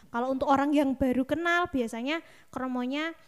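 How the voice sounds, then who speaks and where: High-pitched Javanese woman explaining casually. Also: normal speed. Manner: explains things to listeners, conversational. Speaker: podcast discussion host.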